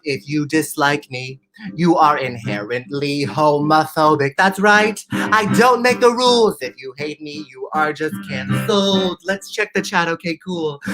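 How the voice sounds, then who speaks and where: Young guy doing comedy songs, theatrical n self-mocking, takes it real slow, guitar under him. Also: fairly high pitch. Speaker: musical comedian. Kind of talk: comedy songs and stage patter for an online show.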